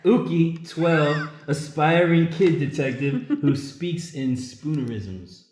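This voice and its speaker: A man's voice: on the high side, takes it slowly, a little mellow. A man, an improv performer, reading out a short character introduction. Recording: echoey room.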